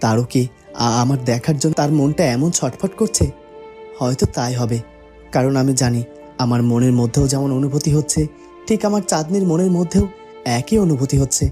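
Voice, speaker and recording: A man's voice: mid-range voice, a little fast, warm tone, soft background music, close to an everyday voice. A man voicing a lovesick young cartoon prince who muses dreamily to himself with small stammers. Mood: longing, pensive. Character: lovesick young prince longing for his bride. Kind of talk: cartoon voice acting, romantic monologue.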